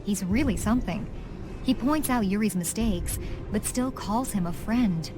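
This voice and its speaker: A woman voicing a gentle, polite young noblewoman in a video game, with an earnest, proper delivery. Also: medium pitch, moderate pace, over music, natural voice. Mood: thoughtful. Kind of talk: video game dub acting.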